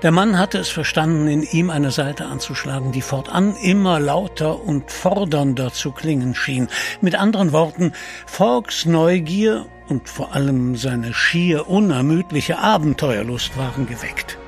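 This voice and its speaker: Higher-pitched male storyteller, audio drama. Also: animated, measured pace, soft background music. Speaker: narrator. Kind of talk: scripted audio drama narration.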